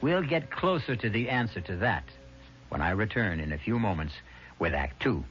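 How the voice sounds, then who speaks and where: A man's voice: on the low side, sing-song, normal speed, fairly bright tone. A man narrating a 1970s radio mystery drama as its urbane host, formal and wry in delivery. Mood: intriguing. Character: urbane, wry male storyteller of mystery tales. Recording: dull vintage radio recording with music.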